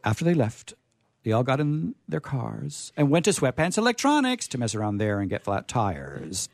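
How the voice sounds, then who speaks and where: A man's voice: medium pitch, very animated, normal speed, gravelly, no room sound. A man hosting a radio show, reading short comic stories aloud and chatting in a playful, theatrical way from a studio.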